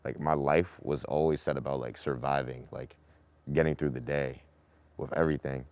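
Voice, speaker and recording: A young man from Boston talking in a relaxed way in a casual group discussion, with many "like" fillers. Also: extremely low pitch, moderate pace, no room sound. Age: young adult. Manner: relaxed, talks in long runs with many "like" fillers. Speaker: student panelist.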